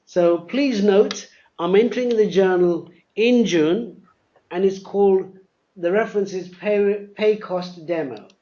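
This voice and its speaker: A man presenting a live software demo, explaining step by step, often repeating words and spelling things out. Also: high-pitched, slow. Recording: dull, lo-fi webinar audio.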